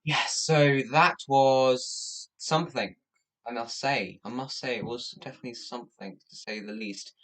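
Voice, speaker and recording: A young man from Latvia, a native Russian speaker, chatting casually as a livestream host in a rambling, halting way. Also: medium pitch, fairly bright tone, low-quality recording. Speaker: livestream host and youth charity campaigner. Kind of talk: casual livestream chat, thanking viewers.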